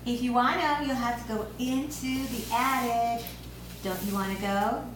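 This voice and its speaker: A woman's voice: fairly high pitch, fairly even intonation, unhurried, natural voice. A woman playing a sly, coaxing nine-year-old imaginary boy at an amateur script read. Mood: sly, teasing. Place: echoey room, recorded from a distance. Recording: very echoey room, distant mic, hiss.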